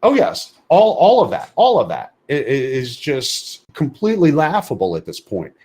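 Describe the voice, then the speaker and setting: A man's voice: fairly high pitch, wildly swooping pitch, normal speed, dark tone, husky. A man co-hosting an opinionated gaming podcast, emphatic, stumbling into sentences and repeating phrases to hammer a point. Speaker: podcast co-host. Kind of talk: heated opinionated discussion of a video game.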